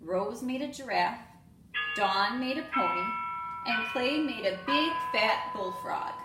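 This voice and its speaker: A woman reading a children's storybook aloud in an expressive way, addressing young students. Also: on the high side, takes it slowly, over loud music. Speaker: school staff member reading aloud to students. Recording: roomy echo, clear background noise.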